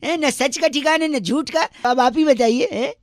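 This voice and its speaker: A man's voice: extremely high pitch, a little slow, dry recording, clean studio sound. A man making comic prank calls in a mock-polite, teasing and theatrical manner. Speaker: prank caller. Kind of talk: comic prank phone call.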